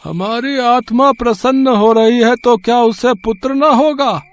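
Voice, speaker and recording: A man voicing a brazen, tipsy father of sixty in a story reading, philosophizing scornfully with drawn-out emphasis. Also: very high voice, smooth, voice pushed up. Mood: philosophical. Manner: slow, drawn-out, emphatic delivery. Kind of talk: dramatized Hindi short-story reading.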